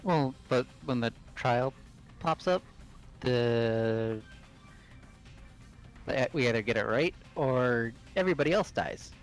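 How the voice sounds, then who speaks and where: A man's voice: mid-range voice, slow pace, pauses often, faint music behind. A man casually discussing a game mystery with his friends. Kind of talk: casual group chat.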